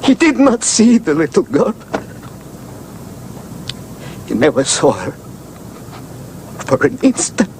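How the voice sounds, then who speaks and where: Slow, sorrowful, pleading father. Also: sits high, huge pitch swings, darkish tone, slight background noise, exaggerated voice. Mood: anguished. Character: grieving, humble, impoverished father pleading for his son. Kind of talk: television drama monologue.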